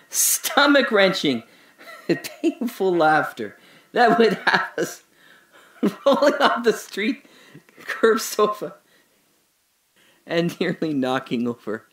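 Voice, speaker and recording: An actor playing a confused amnesiac man trapped alone in a hole, rambling and swinging between giddy laughter and hushed muttering. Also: high voice, heavily acted. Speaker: audio drama lead actor. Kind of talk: solo audio drama monologue.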